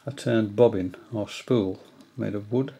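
An older man at home giving a hesitant, casual tutorial, often pausing with fillers as he shows his reels. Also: fairly low pitch, vocal fry, dark tone, husky. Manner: hesitant, many fillers and restarts. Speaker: angling hobbyist giving a tutorial. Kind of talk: casual explanatory demonstration. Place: indoors, small room at home.

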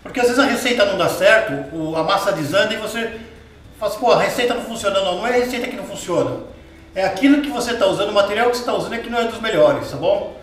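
A man with a Brazilian Portuguese accent hosts a home cooking lesson, chatty and patient, asking the viewer what comes next as he works. His voice sits fairly high. It rings around a hard-walled kitchen and is picked up from a distance. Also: racing, fairly bright tone, a little hiss or hum. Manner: chatty, explains step by step, asks the viewer questions. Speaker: cooking show host. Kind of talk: casual cooking demonstration. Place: home kitchen with tiled wall, indoors. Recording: roomy kitchen sound from a distance.

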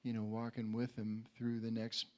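A man in his sixties giving an informal sermon into a handheld microphone, conversational and halting, often repeating words as he goes. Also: lowish voice, completely flat delivery, darkish tone, highs cut off. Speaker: preacher at a community church. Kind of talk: informal sermon with personal anecdotes. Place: indoor church stage.

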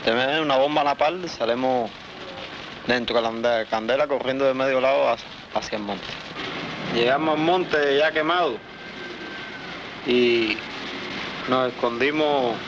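A young Cuban man giving first-person testimony as a war veteran, speaking haltingly in short phrases with frequent pauses. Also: mid-range voice. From Cuba. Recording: dull, noisy old newsreel film soundtrack.

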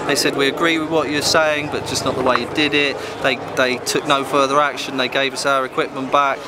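A man's voice: mid-range voice, level tone, brisk. A British man in his thirties casually telling an anecdote in an interview, recorded outdoors on a handheld camera. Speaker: activist interviewee. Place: open park outdoors with crowds. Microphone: handheld camera at close range. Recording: outdoor crowd noise.

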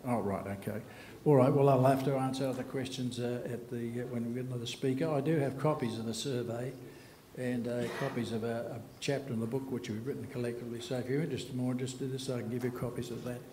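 An older man presenting survey results at a podium mic in a conference hall, halting with many fillers and restarts. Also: medium pitch, fairly even intonation, crowd noise. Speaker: conference speaker. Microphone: podium microphone. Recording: echoey hall.

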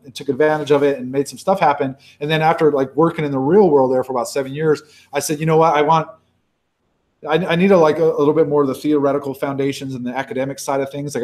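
Fast-talking man in casual talk. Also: mid-range voice. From Baja California, Mexico. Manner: rambling, many restarts, conversational. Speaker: sea turtle researcher giving a talk.

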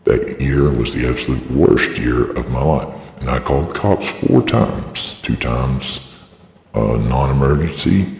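A man's voice, shifted extremely low by a voice changer, with a gravelly creak. He rambles through a personal statement in a halting, aggrieved way, repeating himself. The sound is cavernous, with long reverb. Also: darkish tone. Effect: pitch-lowering voice changer. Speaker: vlogger giving a personal statement.

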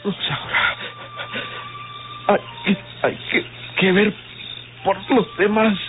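An actor playing a gravely wounded, frightened outlaw with a Mexican accent, weak and halting, in a radio drama. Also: male voice, high-pitched, steady tone, slight background noise. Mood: weak, fearful. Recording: muffled old radio recording.

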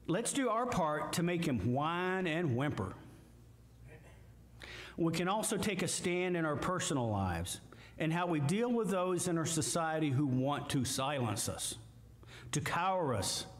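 An older man with a Midwestern American accent, a guest preacher giving a slow, emphatic sermon with scripture readings from a church lectern. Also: on the high side. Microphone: lectern mic, far camera. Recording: echoey church hall from far off.

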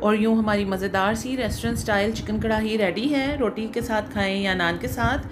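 A woman hosting her home cooking channel, explaining each step in a homely way with frequent filler pauses. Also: mid-range voice, music in the background. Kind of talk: home cooking demonstration voice-over.

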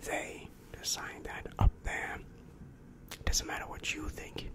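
A young man voicing a weary, exasperated demon guidance counselor in an ASMR roleplay, whispering breathily and close to the microphone with slow, repetitive, patronizing reassurance. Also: close to an everyday voice. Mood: weary, placating. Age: young adult. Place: small dim room indoors. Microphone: large microphone very close to mouth.

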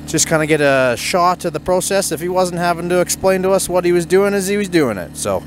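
A man's voice: high voice, animated, a little fast, slight background noise. A man hosting a demonstration, giving easygoing, folksy commentary outdoors on a farm on a cold day. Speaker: host.

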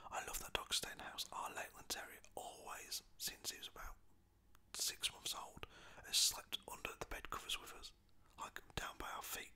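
Casting: British-accented whisperer for chatty ASMR storytelling, cheeky and slangy, breathy, very sharp and sibilant tone. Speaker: storyteller talking about own pets.

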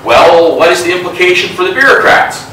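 Middle-aged male speaker, bright and forceful, lively with asides, picked up from a distance in a hollow meeting room. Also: high-pitched, fairly quick. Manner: animated, gestures a lot, many fillers and asides. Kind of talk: interactive talk at a meetup. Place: hotel meeting room with a small group. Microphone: lapel mic, filmed from across the room. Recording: echoey room, from a distance, faint hiss.

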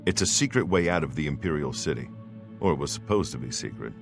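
An actor playing a dutiful imperial bodyguard knight in a fantasy game, grave, earnest and soldierly. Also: male voice, rumbling low, animated, fast talker, vocal fry, music in the background, full character voice. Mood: earnest, explanatory. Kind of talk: fantasy video game acting.

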